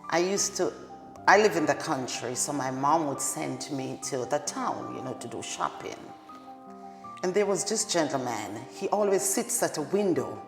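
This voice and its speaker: Very low-voiced Jamaican woman. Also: lively intonation, moderate pace. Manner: plain-spoken, short direct answers. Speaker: direct support professional. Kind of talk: interview answers. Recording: echoey hall with music behind.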